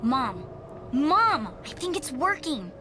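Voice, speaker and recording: A woman playing an excitable daughter eager for a fight in a video game, urgent and exclaiming. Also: high-pitched, slow, slight background noise, heavily acted. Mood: urgent, excited. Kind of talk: video game cutscene dialogue.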